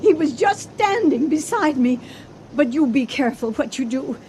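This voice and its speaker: Anxious high-voiced wife, old radio drama. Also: female voice, animated, moderate pace, dark tone. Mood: nervous, protective. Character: anxious, devoted wife caught at sea in a storm. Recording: noisy old radio recording.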